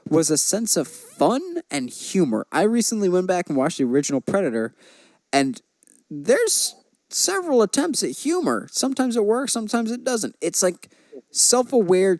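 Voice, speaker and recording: A man's voice: sits high, sing-song, normal speed, darkish tone, dry recording. A man talking casually about movie news as a podcast host.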